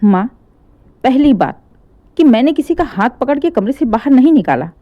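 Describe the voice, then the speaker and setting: A woman voicing a calm young adult son who denies the accusations. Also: sits high, moderate pace, lo-fi sound. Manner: calm, even.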